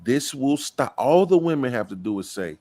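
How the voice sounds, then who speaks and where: A middle-aged man holding forth on a podcast panel, blunt and profane, preaching his opinions at length. His voice sits at an ordinary, mid-range pitch. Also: very animated, normal speed, dark tone.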